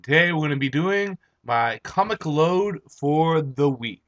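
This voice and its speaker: A young man with a hoarse, tired voice, just out of bed, rambling through a casual comic book review. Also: a little high, expressive, measured pace, a bit bright, muffled recording. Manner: rambling, chatty, with frequent "uh" and restarts.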